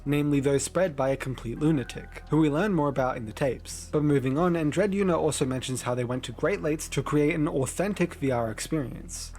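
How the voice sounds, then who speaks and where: A man's voice: a little high, a little mellow. A man reading scripted, analytical narration as the host of a video essay. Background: background music.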